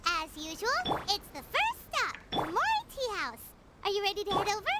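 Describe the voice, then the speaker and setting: A woman voicing a tiny, eager, childlike fairy companion in a video game, squeaky and chirpy, with loud noise behind. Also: huge pitch swings, normal speed, over music, full character voice. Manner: squeaky, chirpy, speaks of self in third person.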